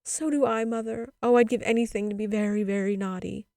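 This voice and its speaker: A woman's voice: medium pitch, animated, normal speed, warm tone, no echo, studio quality, natural voice. A woman voicing a tearful little girl in a storybook, pleading in an insistent, emotional, childlike way. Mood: sobbing, pleading.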